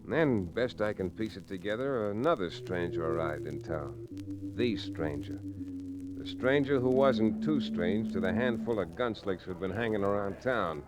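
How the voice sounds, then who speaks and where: An actor playing a determined, plain-spoken American frontier lawyer hero in an old-time radio Western. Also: male voice, lowish voice, animated, normal speed, close to an everyday voice, low-quality recording. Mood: steady narrating.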